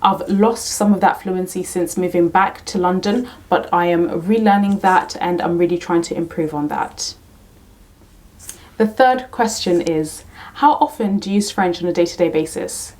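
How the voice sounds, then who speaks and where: A woman's voice: lowish voice, normal speed, darkish tone. A young woman from Kenya, a teacher, casually answering questions to camera, repeating "really, really" and "to be honest" often. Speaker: teacher and YouTube tutor.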